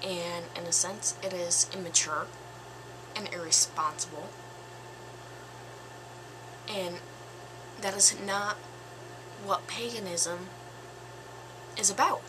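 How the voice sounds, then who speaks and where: A woman in her twenties with a slightly raspy voice, giving her opinion in a casual vlog, slow and thoughtful with pauses and fillers. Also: fairly low pitch, fairly even intonation, background noise. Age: 20s. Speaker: vlogger.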